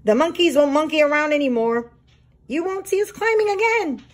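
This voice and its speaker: A woman's voice: high-pitched, animated, fairly quick, voice pushed up. A woman voicing defiant, complaining zoo animals on strike in an exclamatory storybook read-aloud. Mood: indignant.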